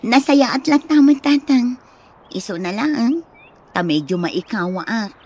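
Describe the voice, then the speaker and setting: A woman's voice: fairly high pitch, expressive, measured pace, darkish tone, slightly rough. A woman with an Ilocano accent playing an earnest, respectful young student mother in a radio drama. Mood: respectful, concerned.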